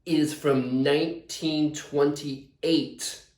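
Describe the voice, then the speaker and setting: A man's voice: on the high side, fairly even intonation, very slow, background noise. A man speaking as a visiting author, casually and enthusiastically explaining things to schoolchildren over a video call. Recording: roomy, echoey video call.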